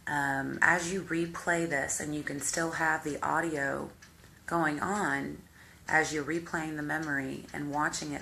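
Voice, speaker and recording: A woman hypnotherapist with a deep voice, guiding the listener through a visualization in slow, calm, step-by-step instructions. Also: gravelly, a little hiss or hum. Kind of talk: guided visualization exercise.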